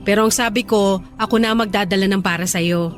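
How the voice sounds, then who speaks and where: A woman playing a caring young girlfriend, speaking gently and accommodatingly in a radio drama. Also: mid-range voice, fairly even intonation, quick pace, soft background music, close to an everyday voice. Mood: caring, everyday. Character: caring young girlfriend who delivers home-cooked meals. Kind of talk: Tagalog radio drama dialogue.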